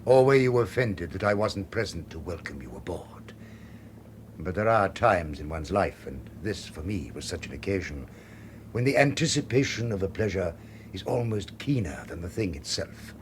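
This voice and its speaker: An actor in a radio drama playing a suave, gloating master criminal who speaks with ornate, menacing courtesy. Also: male voice, sits low, sing-song, normal speed. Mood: silky, courteous. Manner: elaborately courteous, ornate formal phrasing. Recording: faintly noisy radio drama recording.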